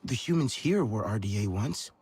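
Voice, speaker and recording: An actor playing a young, earnest alien clan survivor who is searching and uncertain, in a game cutscene. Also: male voice, on the low side, animated, moderate pace, darkish tone, husky, heavily acted. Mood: earnest, subdued. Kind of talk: dramatic game cutscene acting.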